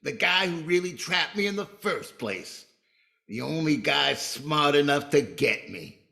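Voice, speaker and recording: Casting: vengeful radio-drama gangster, sharp gravelly edge, threatening tough-guy delivery. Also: on the high side, lively intonation, unhurried, exaggerated voice. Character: vengeful, menacing gangster condemned for murder. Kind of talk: old-time radio crime drama acting.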